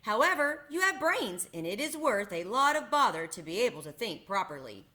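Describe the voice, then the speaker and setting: A thoughtful, good-hearted scarecrow from a storybook, musing warmly over his words. A woman voices him with a bright, lifted tone. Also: fairly high pitch, big pitch swings, normal speed. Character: thoughtful cheerful male scarecrow.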